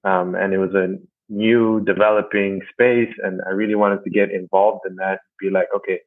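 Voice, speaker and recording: Low flat-voiced young man on a tinny line. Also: no echo. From Rwanda and Canada. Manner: thoughtful, many "um" pauses. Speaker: guest, energy company manager. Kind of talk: casual interview talk. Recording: dry, phone-like line.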